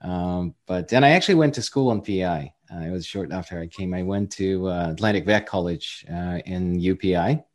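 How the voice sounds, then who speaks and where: A man's voice: deep voice, moderate pace, few pauses, darkish tone. A man in his forties from Ukraine with a Ukrainian accent, telling his career story as a guest in a casual interview, chatty and self-deprecating. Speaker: guest entrepreneur.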